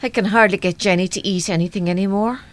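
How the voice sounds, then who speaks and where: A woman's voice: medium pitch, fast, close to an everyday voice. An actress playing a reserved, proud mother in a live radio play, speaking politely, with restraint and firmness. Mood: subdued.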